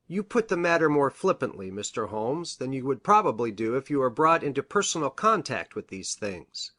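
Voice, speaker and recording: A man playing an earnest, anxious country doctor in a Victorian detective story, speaking formally and deliberately. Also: mid-range voice, big pitch swings, a bit bright. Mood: formal, questioning. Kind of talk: dramatised reading of a Victorian detective novel.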